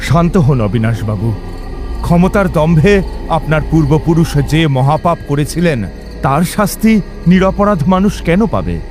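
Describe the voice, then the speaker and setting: A man's voice: a little high, sing-song, unhurried, a little mellow, soft background music. A man playing a bold, righteous tantric Brahmin in a horror audio drama, speaking in an earnest, probing way. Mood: resolute.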